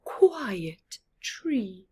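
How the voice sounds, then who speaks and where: A woman voicing an inquisitive woodland owl in a children's story, with hooting, drawn-out cries. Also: mid-range voice, sing-song, darkish tone. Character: hooting owl living high in a quiet tree.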